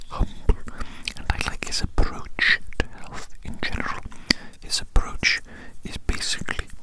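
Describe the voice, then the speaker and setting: An ASMR host rambling in a breathy, unvoiced whisper very close to the microphone, musing in an unhurried way and repeating phrases. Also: poor audio.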